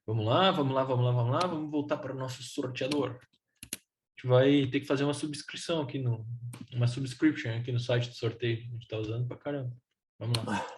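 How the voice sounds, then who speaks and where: A man's voice: mid-range voice, slight background noise. A man with a Brazilian Portuguese accent, casually presenting a live event in an informal, enthusiastic way. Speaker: event host.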